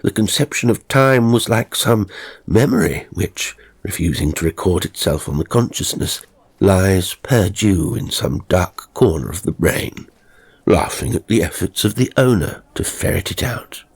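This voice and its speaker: Deep, gravelly man narrating a story. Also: animated, a little slow. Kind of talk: scripted ghost story narration.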